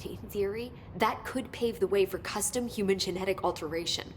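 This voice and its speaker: A woman's voice: brisk, close to an everyday voice. An actress playing an eager, precise, nerdy woman scientist in a video game. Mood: keen, excited. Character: eager, earnest woman scientist, brainy and intense. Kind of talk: naturalistic video game acting, technical explanation. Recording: faint rumbling background noise.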